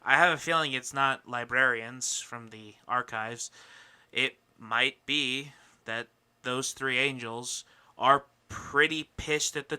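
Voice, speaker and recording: A man hosts a casual podcast in a very bright, forceful voice with a smooth, clear tone. He is opinionated and rhetorical, with some swearing and mock indignation. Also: medium pitch. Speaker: podcast host.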